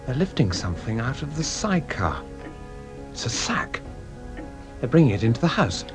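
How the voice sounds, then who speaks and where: An earnest gentleman acted by a man trailing a detective through a radio mystery. His mid-pitched voice leaps about with feeling, questioning everything and readily shocked. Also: big pitch swings, moderate pace, over music, natural voice. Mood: tense. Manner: questioning, incredulous. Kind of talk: radio drama acting.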